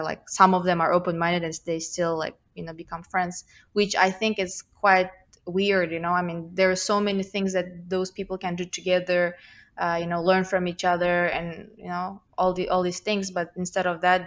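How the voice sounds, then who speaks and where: A woman's voice: lowish voice, little pitch movement, normal speed, fairly bright tone. A young woman with a slight Russian accent, a guest talking thoughtfully in a casual interview, with many fillers and restarts. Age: young adult.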